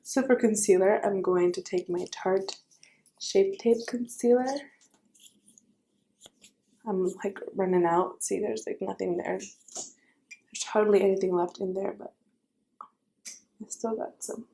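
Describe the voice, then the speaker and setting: A young woman with a raspy voice giving a chatty makeup tutorial, correcting herself and offering friendly advice. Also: mid-range voice, steady tone, slow pace, long pauses, warm tone, a little hiss or hum, poor audio. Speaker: beauty vlogger.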